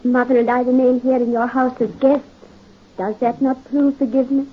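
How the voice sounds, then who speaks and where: An innocent young bride speaks softly of love. Her voice is high and breathy, heard through a thin, tinny 1930s radio recording. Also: level tone, normal speed. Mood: sweet. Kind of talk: 1930s radio drama acting.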